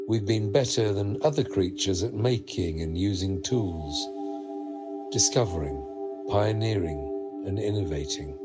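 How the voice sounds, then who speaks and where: A man delivering a poetic, repetitive spoken-word monologue with measured, deliberate phrasing. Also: low-pitched.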